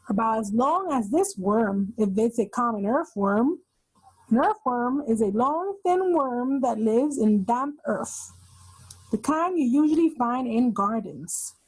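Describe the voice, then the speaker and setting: A woman's voice: on the high side, slightly rough, dry recording, low-quality recording. A woman reading a children's nonfiction book aloud, explaining as she goes and pointing out the pictures. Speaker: read-aloud host for children.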